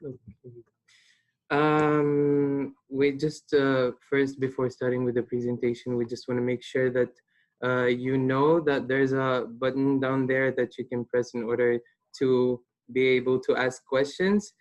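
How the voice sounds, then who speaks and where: A nineteen-year-old man from Palestine presenting a webinar as a student, hesitant and pausing often. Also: medium pitch, monotone. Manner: hesitant, with many pauses.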